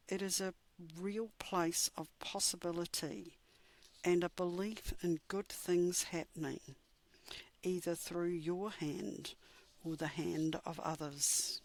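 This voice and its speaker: A woman leading a scripted guided meditation in a soothing, measured manner with deliberate pauses. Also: fairly low pitch, steady tone, vocal fry.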